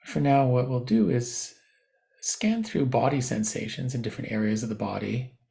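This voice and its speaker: A man leading a guided meditation, giving gentle, unscripted instructions with softened, informal phrasing. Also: mid-range voice, moderate pace, vocal fry.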